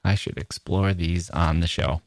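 A man's voice: sits low, steady tone, unhurried, no echo. A middle-aged American man hosting a podcast in a casual, chatty, wry monologue on a close microphone. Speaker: podcast host.

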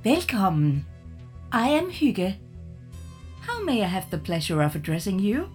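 A woman's voice: medium pitch, sing-song, unhurried, music in the background. A woman playing a polite, learned non-human being in a sci-fi audio drama, speaking formally. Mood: courteous. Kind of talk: science fiction audio drama acting.

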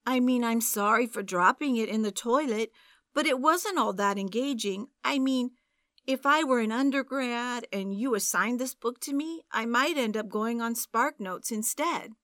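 A woman's voice: on the high side, normal speed, fairly bright tone, dry recording, clean studio sound, voice pushed up, natural voice. A woman voicing a defensive young man who apologizes while brushing off complaints. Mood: dismissive. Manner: apologetic then dismissive, wheedling excuses. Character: defensive young adult man, sheepish boyfriend.

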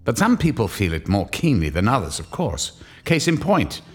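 A man's voice: on the low side, wildly swooping pitch, moderate pace, faint music behind. An actor playing a sardonic male horror-story narrator, delivering scripted lines with wry, theatrical phrasing. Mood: wry, ominous.

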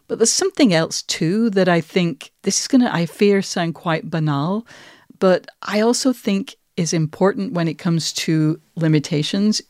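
A woman's voice: on the low side, a little mellow, no room sound. A woman speaks as a podcast host in a conversational, reflective way. Her phrasing is articulate and considered. The recording is dry, with very little room sound. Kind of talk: conversational discussion of writing process.

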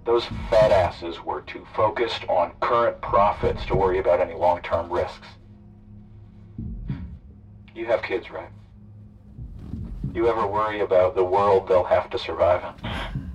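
An actor playing a calm, sardonic male hijacker who threatens and persuades by turns, sounding muffled and distant. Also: deep voice, measured pace, long pauses, slightly rough, soft background music. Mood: earnest. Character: smooth male eco-activist hijacker, menacing. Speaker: radio drama character.

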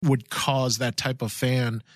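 A man's voice: medium pitch, level tone, slow, no room sound, studio quality. A man co-hosting a podcast, chatting casually and agreeably. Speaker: podcast co-host. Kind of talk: casual chat.

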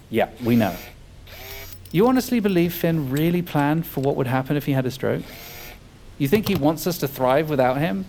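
A man's voice: fairly high pitch, a little mellow, slight background noise. A man playing a cynical, needling mechanic in a naturalistic audio drama, with a bitter, sardonic delivery. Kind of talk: naturalistic fiction podcast acting.

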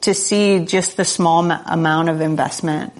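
A woman in her forties being interviewed, relaxed and self-deprecating, laughing easily. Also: fairly low pitch, normal speed. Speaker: guest. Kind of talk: casual conversational interview.

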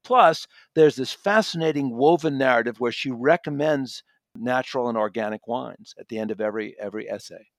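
A man's voice: medium pitch, brisk, no echo. Male reviewer for book podcast discussion, middle register, close dry sound.

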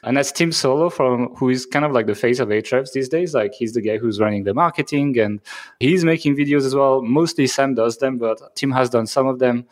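A man's voice: mid-range voice, brisk. A man whose first language is French, co-hosting a podcast and talking casually with plenty of fillers and restarts. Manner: conversational, many fillers and restarts. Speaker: podcast co-host.

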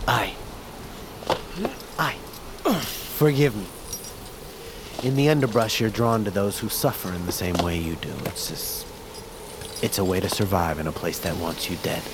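Brooding male outcast warrior, low voice, poetic and archaic, for a fantasy audio drama. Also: unhurried, pauses often, heavy background noise. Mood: earnest. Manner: poetic, archaic, sardonic turns of phrase. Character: brooding male fighter of the Nameless, abandoned as a child. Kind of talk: dramatic audio fiction acting.

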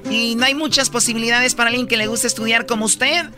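A man's voice: extremely high pitch, fairly even intonation, fast talker, music in the background. A man hosting a radio interview, guiding the guest by recapping his story and asking questions. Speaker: radio host.

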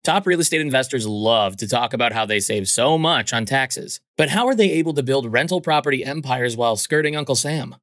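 A man's voice: mid-range voice, expressive, brisk, few pauses, a bit bright, no echo. Punchy man reading an ad, dry sound. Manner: punchy sales delivery. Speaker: ad announcer. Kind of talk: scripted ad read.

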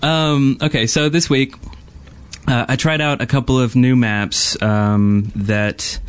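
A man's voice: medium pitch, steady tone, takes it slowly, smooth. A man co-hosting a gaming podcast, talking casually and with enthusiasm about what he describes. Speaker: podcast co-host.

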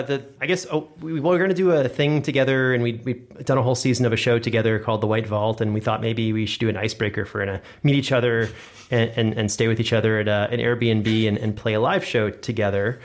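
Quick-talking man in casual group chat. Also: mid-range voice. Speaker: podcast producer and host.

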